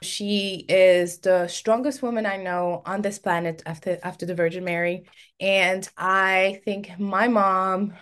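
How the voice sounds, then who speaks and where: Woman, from Senegal, French first language, podcast host, chatty, laughs a lot, mid pitch. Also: level tone, measured pace. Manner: chatty and warm, laughs often. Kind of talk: solo podcast monologue.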